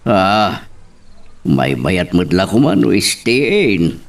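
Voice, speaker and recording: A man acting an unhurried middle-aged employer, a village don, in an Ilocano audio drama. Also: sits low, big pitch swings, gravelly, heavily acted. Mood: matter-of-fact. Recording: slightly distant from mic.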